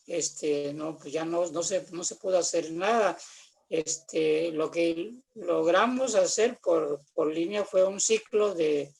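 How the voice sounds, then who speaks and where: A man's voice: on the high side, slow, vocal fry, darkish tone, slightly rough. An older man with a Mexican accent chatting casually in a rambling, repetitive way, telling anecdotes on a video call. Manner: rambling, repeats phrases. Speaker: guest, theatre artist.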